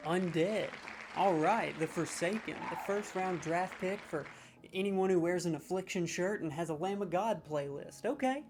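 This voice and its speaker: A man's voice: sits high, normal speed, music in the background, crowd noise. A man in a comedic role, scoring lines with sarcastic, mock-serious, playfully exaggerated commentary.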